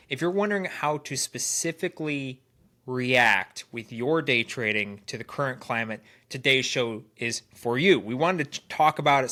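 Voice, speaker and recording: Man, podcast co-host, nasal and congested, bright tone, earnest, restarts phrases. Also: mid-range voice. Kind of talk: casual podcast chat.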